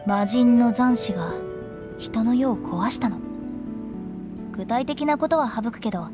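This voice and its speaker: A woman's voice: on the high side, lively intonation, a little slow. A woman voicing a playful, cryptic young female funeral director in a Japanese anime game, with a teasing, theatrical delivery. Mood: solemn, mysterious. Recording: studio voice over background music.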